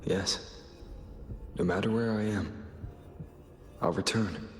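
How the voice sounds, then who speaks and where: An actor playing a steadfast, solemn man in a game, with a gravelly voice. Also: deep voice, takes it slowly. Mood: solemn, resolute. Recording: long echoing reverb, faint music.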